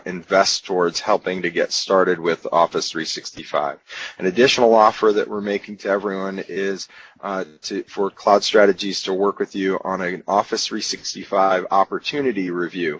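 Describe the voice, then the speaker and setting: Low-voiced man pitching software. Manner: loose and halting, many filler uhs. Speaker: company executive presenting a webinar. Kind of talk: sales presentation over a web meeting. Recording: dull, slightly hissy web-meeting audio.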